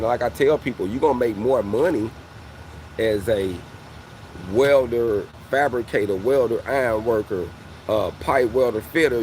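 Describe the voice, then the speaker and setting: A man's voice: medium pitch, darkish tone, slight background noise, rough recording. A man in his forties, a welding coach, talking casually to his viewers and often calling them "fam". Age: 40s. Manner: conversational, repeats "fam" and "you know what I mean". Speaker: welding coach and channel host. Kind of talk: casual motivational talk to viewers.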